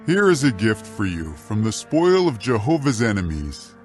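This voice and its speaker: Commanding, expressive male war leader. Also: fairly low pitch, moderate pace, soft background music. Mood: gracious. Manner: earnest, declamatory, authoritative. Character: commanding, fair-minded Israelite war leader. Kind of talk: dramatized scripture audio acting.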